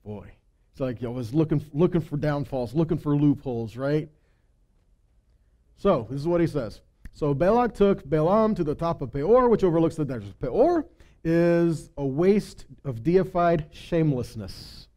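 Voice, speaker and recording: A man's voice: fairly high pitch, animated, moderate pace, dark tone. A man teaching a Bible study, reading scripture and explaining it conversationally, with emphasis on key phrases and frequent check-ins with listeners.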